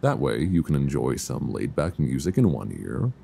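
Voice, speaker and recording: A man playing an affectionate, reassuring boyfriend in an intimate, casual ASMR roleplay, speaking softly and soothingly close to a binaural mic. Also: extremely low pitch, very animated, creaky, exaggerated voice. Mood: gentle, reassuring. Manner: soft, intimate, unhurried, soothing. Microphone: close binaural microphone. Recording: faint background noise, binaural.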